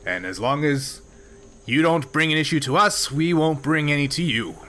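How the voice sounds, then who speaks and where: A man's voice: a little high, lively intonation, moderate pace, sharp tone, pitched above the performer's own voice. Man playing a blustery older Klingon boss. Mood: jovial, boastful. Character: jolly older male Klingon mine boss, proud, firm.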